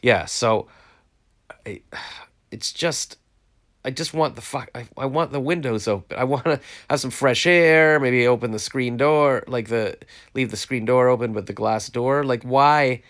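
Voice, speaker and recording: A man's voice: mid-range voice, unhurried, fairly bright tone. A congested man chatting casually to viewers on a phone live stream, frustrated and rambling. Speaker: vlogger hosting a live stream. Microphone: phone, live stream from home.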